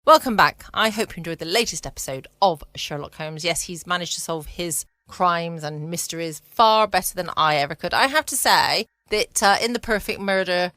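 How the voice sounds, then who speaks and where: A woman's voice: on the low side, expressive, moderate pace, few pauses, pushed and bright, no echo. A woman with an English accent hosting a bedtime podcast in casual chat. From United Kingdom. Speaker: podcast host. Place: dry room at home.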